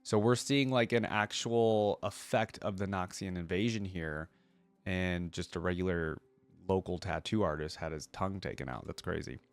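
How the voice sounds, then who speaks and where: A man's voice: sits low, steady tone, moderate pace, clean tone, dry recording. A man hosting a reaction video, reading lore aloud and adding casual commentary as he thinks aloud.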